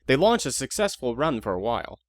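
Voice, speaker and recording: A man's voice: medium pitch, sing-song, a little fast, dry recording. Dry-recorded man narrating with wry informality. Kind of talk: conversational scripted narration.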